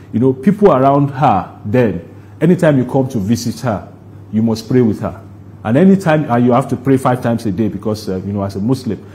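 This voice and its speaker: Mellow-voiced Nigerian man being interviewed. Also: medium pitch. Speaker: interview guest, stage play producer. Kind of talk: broadcast interview, recounting.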